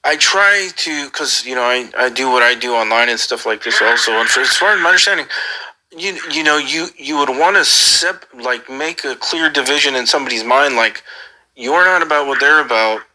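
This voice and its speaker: A man's voice: medium pitch, normal speed, bright, forceful tone, poor audio. A man on a casual call, asking earnest questions in a hesitant way and often repeating his phrases. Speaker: caller.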